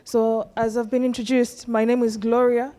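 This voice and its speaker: A woman with a Malawian accent gives a conference presentation as a medical researcher, earnest and explanatory, pausing often with "um". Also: fairly high pitch, little pitch movement.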